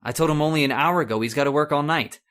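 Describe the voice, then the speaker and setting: A man's voice: a little high, fast talker, a bit bright, natural voice. A man playing an irritable, scornful older husband haunted by memory, impatient and muttering, in old-time radio horror style. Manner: impatient, snapping, muttering broodingly.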